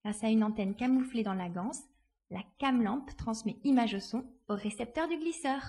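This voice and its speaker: A woman playing a spirited, resourceful young girl in a dramatised audiobook, lively and assured in her delivery. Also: on the high side, normal speed, slightly rough. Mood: proud, explanatory. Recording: faint background noise.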